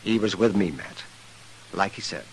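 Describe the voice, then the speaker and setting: An actor playing a grave, frank older American town doctor with a husky voice in a western radio drama. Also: male voice, deep voice, expressive, normal speed, a little hiss or hum, poor audio. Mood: subdued.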